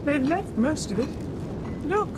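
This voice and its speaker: An actress playing an anxious, eager young air stewardess in a 1970s radio drama, with an apologetic manner. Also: female voice, sing-song, measured pace. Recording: old radio recording, loud rumble. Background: loud aircraft rumble.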